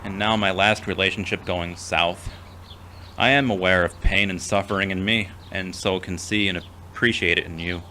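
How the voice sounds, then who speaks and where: A man playing a gentle, reserved, long-suffering character in an audio drama, with a measured, formal manner. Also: low-pitched, fairly even intonation, slight background noise. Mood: sympathetic, solemn. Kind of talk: scripted audio drama acting.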